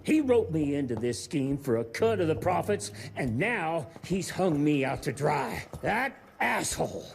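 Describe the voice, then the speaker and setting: Video game role: cowardly, greedy accountant, whiny and self-pitying, high animated delivery over music. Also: male voice, measured pace, gravelly, hardly any pauses, full character voice. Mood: indignant, bitter. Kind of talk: video game cutscene acting.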